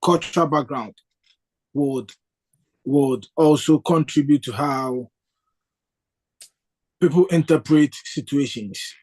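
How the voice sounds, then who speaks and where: A man's voice: on the high side, slow pace, pauses often, darkish tone. A man from Ghana taking part in a spiritual discussion over a video call, thoughtful and hesitant, with frequent fillers. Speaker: discussion participant.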